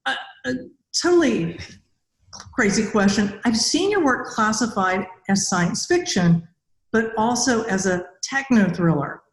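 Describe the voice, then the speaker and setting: A woman's voice: mid-range voice, animated, a little slow. A middle-aged woman hosting a podcast interview in a conversational style, recorded over a video call in an echoey room. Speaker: podcast host, robotics academic. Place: indoor room with bare walls.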